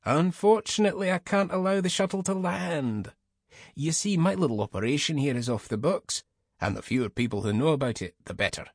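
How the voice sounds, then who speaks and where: A man's voice: a little high, brisk, no echo, clean studio sound, pitched above the performer's own voice. Man putting on a sly, lilting Scottish mercenary commander. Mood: coolly menacing. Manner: mocking, falsely polite. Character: sly, smug middle-aged Scottish mercenary commander, male.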